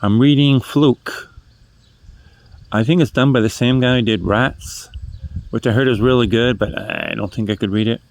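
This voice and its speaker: A man's voice: mid-range voice, steady tone, moderate pace. A man over fifty from New Hampshire with a New England accent, rambling through his first book vlog, self-deprecating and correcting himself as he goes. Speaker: first-time book vlogger. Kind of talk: casual rambling monologue. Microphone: phone held in hand.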